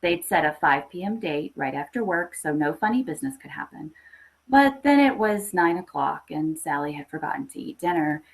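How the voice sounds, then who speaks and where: A woman reading a literary horror short story aloud with expressive, scripted narration. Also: deep voice, normal speed, gravelly, low-quality recording. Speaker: story reader.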